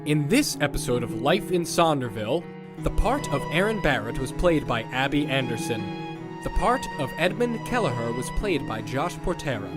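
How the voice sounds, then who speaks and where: A man's voice: a little high, sing-song, moderate pace. A man announcing in a scripted narration style, reading as for the credits of an audio drama. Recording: loud guitar music behind. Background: guitar music.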